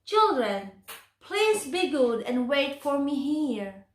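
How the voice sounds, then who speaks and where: A woman voicing a gentle father instructing his children in a storybook. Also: high-pitched, big pitch swings, takes it slowly, distant mic, heavily acted. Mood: coaxing. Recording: echoey room.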